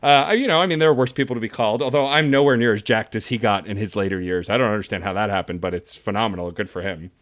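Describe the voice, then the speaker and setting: A man's voice: on the low side, fast talker, few pauses, fairly bright tone. Laid-back male podcast host. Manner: laid-back, interviewer asking questions. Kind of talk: casual podcast interview.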